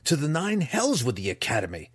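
A man voicing a young dark elf warrior, defiant and strained, his voice pushed up high as he stumbles over words. The tone is breathy and husky, in a dry close recording. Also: sing-song, normal speed, no echo. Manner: stuttering, strained. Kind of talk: audiobook character voice.

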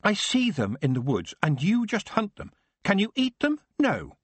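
A man voicing an earnest young nobleman's son, gentle but defiant, speaking formally. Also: sits high, wildly swooping pitch, normal speed, breathy edge, no room sound, voice pushed up, full character voice. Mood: pleading.

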